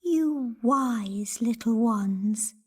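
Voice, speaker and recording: A woman plays a caring storybook nanny goat. Her voice is soft, breathy and fairly high, with slow, lilting phrases. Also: animated, exaggerated voice. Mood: tender, cautioning. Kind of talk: children's storybook character acting.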